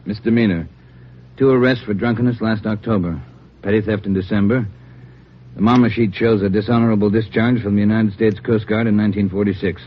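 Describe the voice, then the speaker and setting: An actor playing a calm police lab chief. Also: male voice, on the low side, brisk, a little hiss or hum, natural voice. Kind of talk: 1950s radio drama acting. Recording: dull 1950s radio broadcast.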